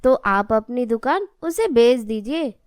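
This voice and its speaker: A woman's voice: on the high side, lively intonation, slow pace, darkish tone, no room sound, studio quality. A woman voicing an innocent, earnest little grandson in a cartoon moral story. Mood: curious, earnest. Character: innocent, devoted young grandson, a little boy.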